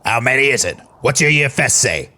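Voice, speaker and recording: An actor voicing a gruff, businesslike griffin mercenary with a gravelly, raspy delivery in a fantasy audio drama. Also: medium pitch, animated, brisk, bright, forceful tone. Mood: urgent, businesslike. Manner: terse, businesslike.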